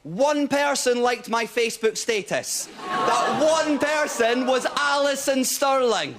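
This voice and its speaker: A Scottish man in his twenties doing a stand-up set on stage, telling stories quickly and with punchlines. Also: extremely high pitch. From Scotland. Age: 20s. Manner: quick, animated storytelling with punchlines. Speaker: stand-up comedian. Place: TV studio stage before a live audience. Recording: audience laughter and crowd noise.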